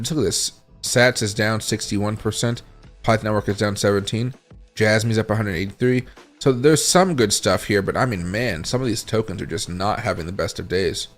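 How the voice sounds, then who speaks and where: Tired, raspy male crypto streamer. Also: fairly low pitch. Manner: rambling, thinks aloud while scrolling charts and reading chat. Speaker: crypto livestream host. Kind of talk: casual livestream chart analysis.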